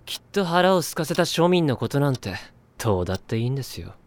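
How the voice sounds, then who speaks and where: A man's voice: mid-range voice, big pitch swings, fast. A man voicing an aloof, sarcastic teenage boy with a dry, curt, mocking delivery in an anime audio drama. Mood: snide.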